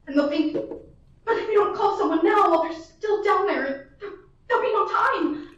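A woman's voice: very high voice, moderate pace, a little hiss or hum. A teenage girl acting a frantic, bedridden woman alone at night in a school radio play, anxious and pleading. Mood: frightened. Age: teens. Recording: echoey, distant, dull classroom recording.

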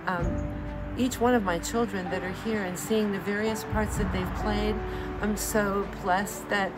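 A woman's voice: mid-range voice, steady tone, a little slow, poor audio. An older woman from the United States giving a heartfelt personal testimony as a missionary. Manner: heartfelt, earnest. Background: music.

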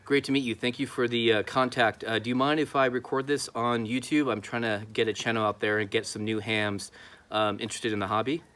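A man's voice: mid-range voice, fairly even intonation, a little fast, fairly bright tone. A man in his thirties talking casually to camera, explaining his hobby as a video host outdoors. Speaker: YouTube host, amateur radio hobbyist. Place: outdoors in a backyard.

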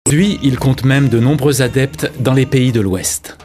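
A man narrating a scripted religious tale for an animated film in a measured, solemn storytelling manner. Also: mid-range voice. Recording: prominent music behind the voice.

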